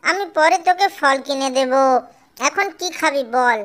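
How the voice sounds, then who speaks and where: A woman voicing a fretful, caring but shrewd mother songbird in an animated cartoon. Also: high-pitched, moderate pace. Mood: coaxing. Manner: fretful, expressive.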